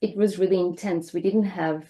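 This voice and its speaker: Portuguese woman, low dark voice. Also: moderate pace. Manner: speaks second-language English with small slips, calm and reflective. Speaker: podcast guest. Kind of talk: conversational interview.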